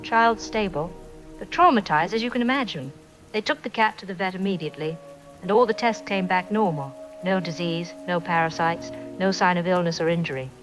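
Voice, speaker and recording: An actress playing a calm, watchful radio operator giving measured, procedural reports in an audio drama. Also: female voice, on the low side, faint music behind, close to an everyday voice, rough recording. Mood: grave.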